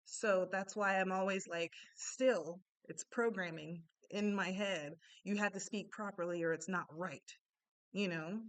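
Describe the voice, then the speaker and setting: Woman, slight Panamanian Spanish accent, animated, conversational, storytelling monologue. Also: mid-range voice, measured pace, low-quality recording. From born in Japan, Panamanian family. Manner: animated, conversational, frequent "right?" asides. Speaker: self-help speaker.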